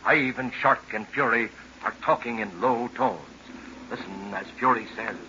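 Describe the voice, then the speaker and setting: A man's voice: mid-range voice, a little slow, background noise. A man narrating a 1940s radio serial as announcer, with scripted dramatic delivery. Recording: muffled old radio recording.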